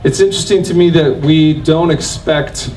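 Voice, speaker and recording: A man in his forties speaking as a panel guest in a crowded covered pavilion, measured and reflective, into a stand mic. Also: fairly high pitch, darkish tone, a little hiss or hum, low-quality recording. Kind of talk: panel discussion.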